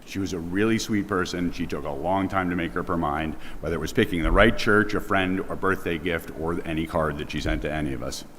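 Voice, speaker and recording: A man with a very low voice delivers a prepared eulogy. He reads to a gathering in a large church, with long reverb around his words. A faint layer of background noise sits under the recording. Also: fairly quick, hardly any pauses, a bit bright. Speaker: eulogist at a memorial. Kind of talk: prepared eulogy read aloud.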